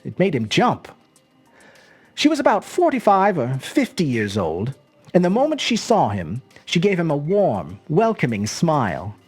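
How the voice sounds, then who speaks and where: A storyteller narrating a scripted short story in an expressive, measured, dramatic manner. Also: medium pitch, breathy edge, soft background music. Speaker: storyteller, host.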